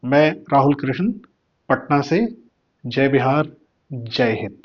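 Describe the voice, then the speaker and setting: A man's voice: medium pitch, slow pace, lo-fi sound. A man hosting an informal news explainer in a didactic, question-and-answer manner. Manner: didactic, asks and answers own questions, repeats key words. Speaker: host.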